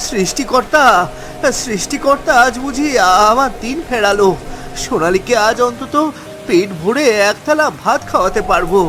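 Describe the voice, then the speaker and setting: A man's voice: very high voice, animated, normal speed, fairly bright tone. A man playing a timid, henpecked poor fisherman in a cartoon, plaintive and melodramatic. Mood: excited hope. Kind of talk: cartoon dialogue. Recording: music behind the voice.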